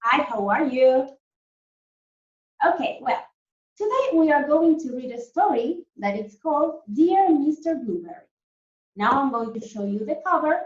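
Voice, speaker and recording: A woman teacher reading a story to young children in a deliberate, animated way, often putting questions to them. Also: sits high. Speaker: primary school teacher reading a story to pupils. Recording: roomy, dull lo-fi sound.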